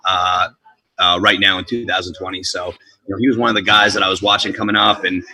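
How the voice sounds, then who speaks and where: A man's voice: sits low, fairly quick, poor audio. A young man born in Colombia and raised in New Jersey, talking casually and energetically as a DJ and MC guest in an interview. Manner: energetic, talkative, street-casual.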